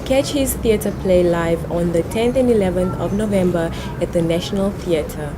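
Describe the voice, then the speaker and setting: A woman with a Namibian accent, working as a reporter and conducting an interview. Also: normal speed. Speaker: news agency reporter, interviewer. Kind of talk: on-camera interview. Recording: noisy ambient background, traffic-like rumble.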